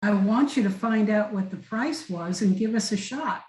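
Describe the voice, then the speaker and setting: A woman's voice: mid-range voice, lively intonation, normal speed, a little mellow, natural voice. A woman in an amateur table read playing a determined businessman. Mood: urgent. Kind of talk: amateur script reading. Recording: roomy, echoey voice pickup.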